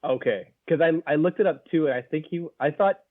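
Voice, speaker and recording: A man's voice: on the high side, a little fast, no echo. A man co-hosting a casual film review podcast, rambling with lots of fillers and frequent laughs. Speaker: podcast co-host. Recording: narrow phone-like sound.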